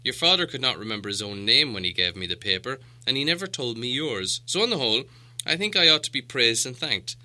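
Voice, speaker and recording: Man acting out a stern, forceful old storybook wizard. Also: mid-range voice, animated, brisk. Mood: self-satisfied. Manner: emphatic, grim, self-assured. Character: gruff old male wizard, stern and impatient. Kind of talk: storybook character voice.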